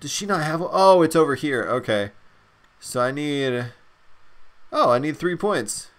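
Raspy, stuffed-up excitable male gamer. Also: a little high, a little slow. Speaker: let's play host. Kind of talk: gameplay commentary.

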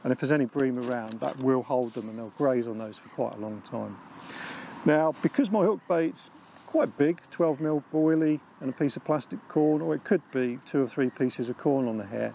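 A middle-aged Englishman with a gravelly, mellow voice explains his approach at a calm, steady pace. He sits outdoors beside a lake and speaks into a clip-on mic. Faint outdoor noise sits behind him. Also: medium pitch. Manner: measured, explanatory, talks with his hands. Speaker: angling expert. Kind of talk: instructional piece to camera. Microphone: lapel mic.

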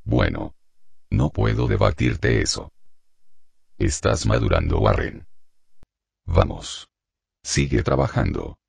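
A man playing an older shopkeeper father, stern but protective, with a gravelly, husky voice in an amateur drama dub. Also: very deep voice, wildly swooping pitch, slow pace, pauses often, dry recording, heavily acted. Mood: resigned, fatherly. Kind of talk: amateur Spanish dub acting.

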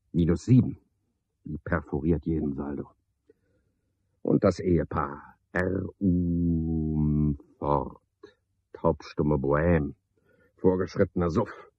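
An actor playing a cynical, scheming night porter who talks to himself in a sardonic, pedantic way in a radio play monologue. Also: male voice, a bass voice, breathy edge, dry recording, full character voice. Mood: brooding, muttering. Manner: sardonic, pedantic, swinging from muttering to outbursts. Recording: dull, lo-fi, dry studio radio recording.

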